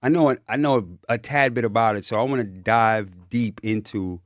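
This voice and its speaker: A man's voice: lowish voice, moderate pace, no echo, clean studio sound. A man hosting a podcast in casual chat, emphatic and enthusiastic, repeating phrases for emphasis. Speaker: podcast host.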